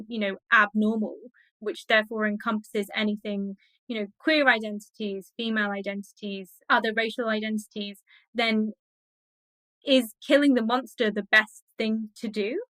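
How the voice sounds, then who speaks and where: A woman's voice: mid-range voice, steady tone, moderate pace, a bit bright, dry recording, poor audio. A woman speaking as an expert guest in a conversational interview, explaining her thoughts with enthusiasm.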